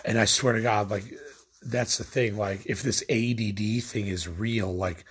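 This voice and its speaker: A stoned young man from Illinois rambles through a late-night rant, circling back on himself and padding every thought with "like." His voice sits low and sounds heavily husky and breathy. He talks casually to camera as a vlogger. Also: fairly even intonation, a little slow. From Illinois, USA. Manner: meandering, filler-heavy, lots of "like".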